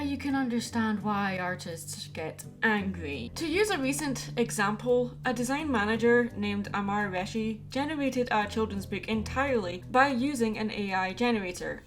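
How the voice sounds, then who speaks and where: A woman giving earnest, opinionated commentary to viewers as a video commentator. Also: mid-range voice. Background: background music.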